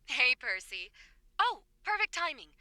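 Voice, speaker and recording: A woman playing a sweet, brisk young girlfriend who works as a therapist in an indie audio drama. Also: sits high, very animated, bright, forceful tone, no room sound, studio quality, exaggerated voice.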